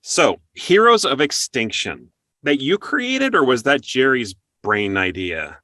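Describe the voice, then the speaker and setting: A man hosting a conversational interview, chatty and quick to laugh. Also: medium pitch, very animated, sharp tone. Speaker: interview host.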